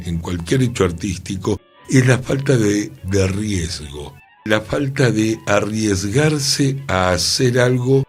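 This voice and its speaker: Low husky man musing. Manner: musing, thinking aloud with restarts. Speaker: radio host. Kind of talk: reflective radio discussion. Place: radio studio.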